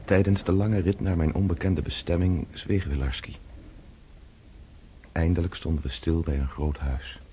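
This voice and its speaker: A man's voice: low voice, fairly even intonation, normal speed, dark tone, slight background noise, close to an everyday voice. An actor playing an earnest, hesitant young count in a radio drama, speaking formally as he seeks to reform himself. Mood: reflective. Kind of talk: radio drama acting and first-person narration.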